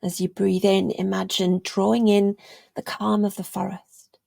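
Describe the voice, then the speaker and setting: A woman leading a guided meditation in a gentle, soothing, unhurried way, leaving long pauses and letting phrases trail off almost to a whisper. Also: medium pitch, little pitch movement, creaky, no echo. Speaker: meditation guide, yoga teacher.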